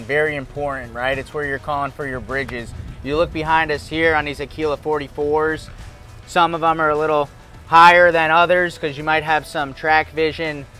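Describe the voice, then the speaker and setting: A male host in his thirties with a fairly high, bright and forceful voice. He presents casually outdoors on a clip-on mic, with music in the background. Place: outdoors at a marina dock. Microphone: clip-on lapel mic.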